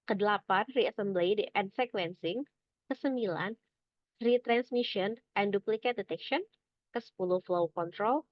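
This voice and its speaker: A young woman giving a scripted academic presentation as a student, in a measured, explanatory, somewhat halting manner. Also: mid-range voice, no room sound, dull audio. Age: young adult.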